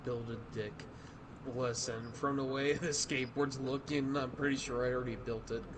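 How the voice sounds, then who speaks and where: A mid-pitched man jokes crudely and swears while gaming with a friend. Clear noise hums behind his voice. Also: fairly even intonation, normal speed. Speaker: gaming partner. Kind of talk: casual gaming chat.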